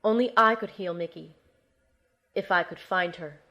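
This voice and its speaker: A woman's voice: fairly low pitch, moderate pace, bright, forceful tone. Woman acting a determined young operative narrating. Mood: tense, reflective. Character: determined young female field medic and team operative narrating her mission. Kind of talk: first-person audio drama narration with dialogue.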